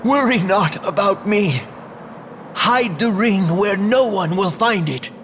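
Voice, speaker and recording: A man's voice: high voice, expressive, slow, full character voice. A man playing a solemn, protective, fretful old master in an anime-style video game. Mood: urgent. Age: older. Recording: clear background noise and ambience.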